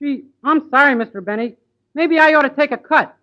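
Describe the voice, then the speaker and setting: Brief: young man as a boyish naive crooner, very high voice, eager, old hissy radio air-check. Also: brisk, lo-fi sound. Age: young adult. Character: naive, money-minded young male singer. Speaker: singer in the radio cast. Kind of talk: 1940s live radio comedy acting. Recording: noisy vintage radio broadcast.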